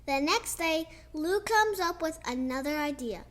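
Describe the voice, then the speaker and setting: Slow, high-voiced girl reading aloud. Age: child. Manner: slow, expressive reading with chatty asides. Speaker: child storyteller. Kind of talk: reading a picture book aloud.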